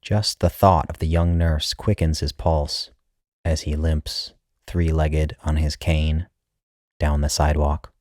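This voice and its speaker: A man's voice: very deep voice, flat delivery, takes it slowly, darkish tone, dry recording, studio quality. A man reading a literary short story as its narrator, in a scripted style.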